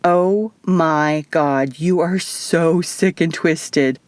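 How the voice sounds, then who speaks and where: Wry young adventure heroine read by a woman. Sceptical, expressive, teasing; audiobook fantasy, dry studio-style sound. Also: deep voice, extremely slow, no room sound. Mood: incredulous.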